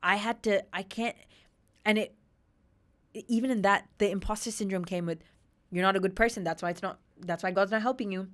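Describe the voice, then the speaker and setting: A woman's voice: mid-range voice, a little fast. Woman in her 30s, from australia, podcast guest, earnest and emphatic, mid-range voice. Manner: earnest, emphatic, animated retellings with laughs. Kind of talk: candid personal storytelling.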